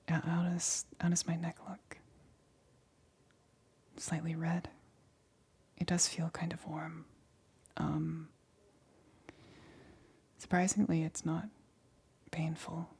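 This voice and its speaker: A woman's voice: low voice, level tone, takes it slowly, long pauses, a little mellow, breathy edge, full character voice. A woman playing a shaken, awkward but reassuring lab scientist in an ASMR roleplay, whispering breathily with a hesitant stammer. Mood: uneasy, clinical.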